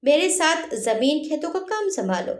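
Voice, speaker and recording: A woman's voice: high-pitched, very animated, normal speed, echoey room, soft background music, pitched above the performer's own voice. A woman voicing a doting but firm father, a village headman, in a told story. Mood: stern, angry.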